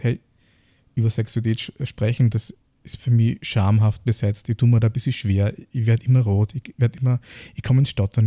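Male podcast co-host, Austrian accent, dark mellow low voice, reflective and casual. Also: no room sound. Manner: reflective, speaks in piecemeal phrases with rhetorical questions. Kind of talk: casual explanatory podcast talk.

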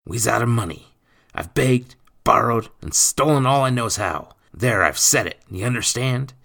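A man voicing a rough, bullying, thieving stepfather in an audiobook, gruff and coarse in his grammar. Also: medium pitch, animated, normal speed, sharp tone, pitched above the performer's own voice. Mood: menacing. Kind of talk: audiobook character voice.

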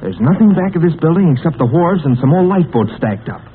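An actor playing a brave teenage adventurer who takes charge, in a 1940s radio serial on a tinny, hissy old recording. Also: male voice, a little high, big pitch swings. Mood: hushed. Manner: brisk and take-charge.

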